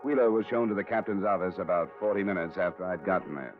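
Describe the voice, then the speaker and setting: An American actor playing a cool, probing, hard-boiled insurance investigator in a terse 1950s radio detective style. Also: male voice, deep voice, quick pace, close to an everyday voice. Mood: terse, matter-of-fact. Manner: terse, matter-of-fact, probing. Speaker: lead actor and narrator. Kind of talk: 1950s radio detective acting, clipped noir narration. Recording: dull vintage 1950s radio broadcast, music behind.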